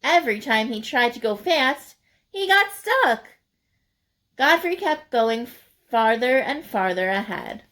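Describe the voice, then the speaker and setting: Slow, higher-voiced woman reading a picture book. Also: expressive, fairly bright tone. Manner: deliberate, emphatic, addressing young listeners. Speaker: children's read-aloud storyteller. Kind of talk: expressive picture-book narration.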